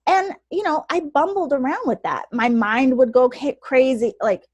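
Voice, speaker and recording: Soft-voiced woman, chatty podcast host. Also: sits high, moderate pace, dry recording. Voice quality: naturally soft voice. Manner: chatty, animated, laughs often. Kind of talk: casual chat.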